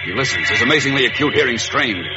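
An actor as a portentous, suspense-building narrator of a 1940s radio serial. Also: male voice, mid-range voice, animated, fast talker, loud music behind the voice, close to an everyday voice. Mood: suspenseful. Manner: dramatic, portentous. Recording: dull vintage radio recording.

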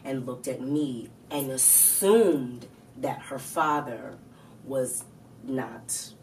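A woman from Florida in a casual group chat, an animated storyteller who restarts and stretches her words. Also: very deep voice, extremely slow. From Florida, US South. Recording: distant mic with faint noise.

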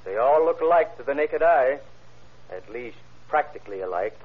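A man's voice: mid-range voice, lively intonation, normal speed, slightly rough. An actor playing a cautious, exacting police ballistics man who speaks carefully and commits to nothing, in old radio drama style. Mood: absorbed. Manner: careful, noncommittal. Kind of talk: 1940s-50s radio crime drama acting. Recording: dull vintage radio recording.

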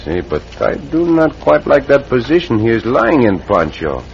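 An actor playing a courteous, alert Mexican cowboy hero in an old-time radio western, with a Mexican accent and formal, precise phrasing. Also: male voice, medium pitch, sing-song, moderate pace, vocal fry. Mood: hushed, wary. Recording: muffled, very noisy vintage radio recording.

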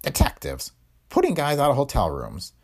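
A man's voice: mid-range voice, wildly swooping pitch, moderate pace, voice pushed up. A man voicing a crafty, cackling old male detective who talks in a folksy, ungrammatical way. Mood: gleeful.

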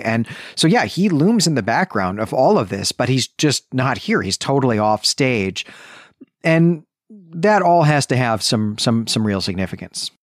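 A man's voice: mid-range voice, no room sound, studio quality. A man hosting a podcast, recounting and discussing a story in a conversational way. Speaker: podcast host. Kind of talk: animated story recap and literary discussion.

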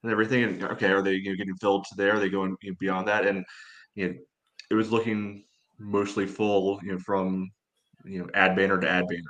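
A man with a very low voice co-hosts a casual sports podcast. His delivery is flat and measured, hedged with frequent filler phrases. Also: rough recording. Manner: measured, hedging, peppered with "you know".

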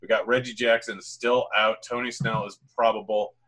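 Bright-voiced man hosting a fantasy podcast. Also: lowish voice, little pitch movement, poor audio. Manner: upbeat, rapid-fire sponsor read and game breakdown. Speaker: podcast host. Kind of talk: fantasy basketball podcast chat.

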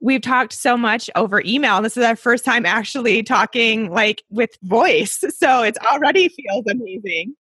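A woman's voice: fairly high pitch, normal speed, few pauses, a bit bright, husky, dry recording. A woman hosting a casual interview, talking in an enthusiastic, gushing way. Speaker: podcast host.

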